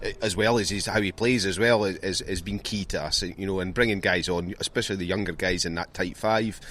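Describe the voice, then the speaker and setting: Male coach, low pitch, measured and hesitant, faint outdoor noise. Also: fairly even intonation, fairly bright tone. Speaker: rugby club coach being interviewed. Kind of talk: pre-match sports interview.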